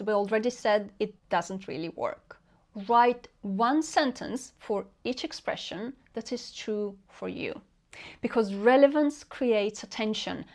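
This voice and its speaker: A woman in her forties giving a calm, explanatory language lesson, with soft whispered asides. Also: a little high. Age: 40s. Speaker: Italian language teacher.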